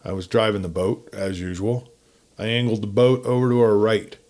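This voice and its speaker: A man's voice: fairly low pitch, fairly even intonation, normal speed, darkish tone. A man reading a folksy first-person story in a campfire-tale narration style.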